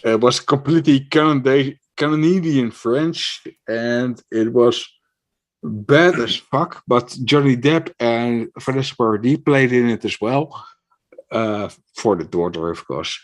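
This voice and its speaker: A man from the Netherlands, chatting casually on a live stream and pausing often with fillers as he searches for words. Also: medium pitch. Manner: hesitant, many fillers and restarts. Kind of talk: casual chat.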